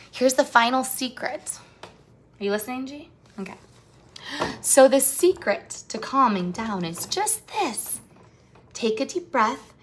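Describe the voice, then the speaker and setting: A woman with a bright, fairly high voice hosts a read-aloud lesson for young children. She speaks straight to her young audience in an encouraging way, asking questions and stretching out the storybook phrases expressively. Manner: encouraging, addresses young viewers directly with questions. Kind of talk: picture-book read-aloud and talk to children.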